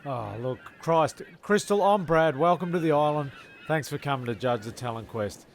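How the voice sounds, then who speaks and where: A man hosting and narrating an improvised comedy, halting and rambling as he goes. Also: on the high side, expressive, slight background noise. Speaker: host and narrator.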